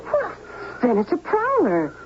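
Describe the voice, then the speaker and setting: Clingy girlfriend, high voice, very expressive, questioning, old muffled radio drama, slight noise. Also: measured pace, exaggerated voice. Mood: uneasy. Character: insecure, clinging girlfriend, fond of fine dinners. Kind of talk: 1970s radio drama acting. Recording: noisy, muffled 1970s radio recording.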